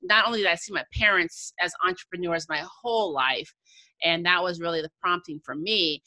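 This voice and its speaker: A woman's voice: fairly low pitch, fairly quick, bright, forceful tone, no room sound, low-quality recording. An American woman hosting a podcast in a conversational interview style. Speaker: podcast host.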